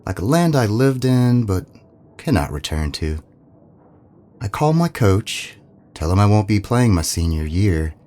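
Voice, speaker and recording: An actor playing an earnest seventeen-year-old farmhand who narrates in a reflective, plainspoken way, as in a scripted audio drama. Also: male voice, fairly low pitch, sing-song, a little slow, a little mellow. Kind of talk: scripted first-person narration.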